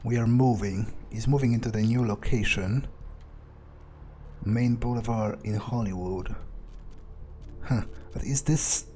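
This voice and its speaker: A man's voice: lowish voice, a little slow, long pauses, mellow, a little hiss or hum. A man doing in-character game commentary, thinking aloud in a theatrical, self-assured, musing way. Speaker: gamer playing in character.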